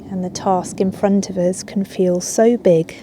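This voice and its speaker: A woman yoga teacher guiding a relaxation in a soothing, unhurried way with long pauses. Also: medium pitch, steady tone, warm tone, slight background noise. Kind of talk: guided yoga nidra relaxation. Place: outdoors in a garden. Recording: outdoor ambience with birdsong. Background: birds and garden ambience.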